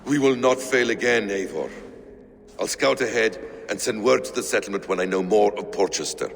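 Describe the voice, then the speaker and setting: Shrewd scout, gravelly, measured, serious, loyal ally, game cutscene, long reverb. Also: male voice, fairly low pitch. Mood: grave, reassuring. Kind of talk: dramatic video game acting.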